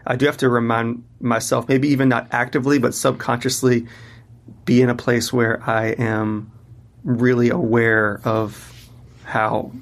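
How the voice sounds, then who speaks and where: Man, 30s-40s, musician guest, casual and rambly, flat intonation. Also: mid-range voice, unhurried, creaky. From Mississippi, US. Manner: loose and chatty, many fillers and restarts. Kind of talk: casual interview conversation.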